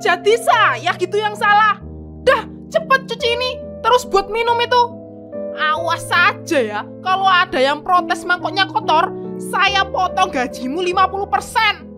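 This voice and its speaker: A shrill, stingy stall-owner wife. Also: female voice, a little slow, over music. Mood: scolding. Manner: scolding, shrill and nagging. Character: stingy bossy wife, food stall owner, middle-aged. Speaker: voice actor. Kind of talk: cartoon drama voice acting.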